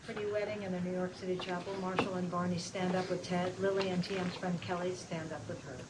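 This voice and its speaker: A woman's voice: lowish voice, level tone, fast. A woman reading scripted screen directions aloud in a plain, matter-of-fact manner at a table read. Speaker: reader of stage directions. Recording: very noisy live room recording.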